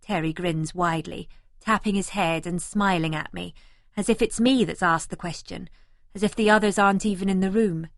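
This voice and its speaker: A woman's voice: on the low side, fairly even intonation, normal speed, vocal fry, no room sound. Woman narrating a novel, close and dry. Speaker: audiobook narrator. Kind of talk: first-person scripted narration. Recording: remastered audiobook, close and dry.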